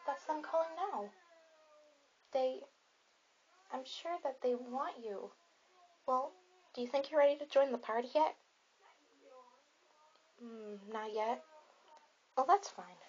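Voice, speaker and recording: A woman playing a friendly popular teenage girl in an ASMR roleplay, chatting softly and reassuringly in a rambling, casual way. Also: sits high, animated, unhurried, pauses often, breathy edge, dull audio, full character voice. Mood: gentle, reassuring.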